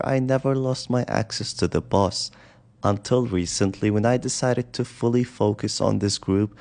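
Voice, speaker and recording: A man's voice: on the low side, darkish tone. A man presenting a scripted narration as the host of a video. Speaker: host of scam-exposing video channel.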